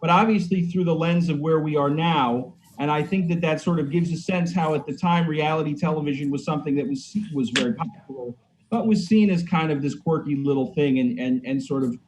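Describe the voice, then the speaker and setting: Man, playwright, slightly high-pitched voice, hesitant and halting, casual discussion, video call. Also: a little mellow, a little hiss or hum, low-quality recording. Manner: hesitant, halting, repeats phrases. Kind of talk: casual discussion of own work. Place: indoor room, video call. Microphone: computer mic on video call.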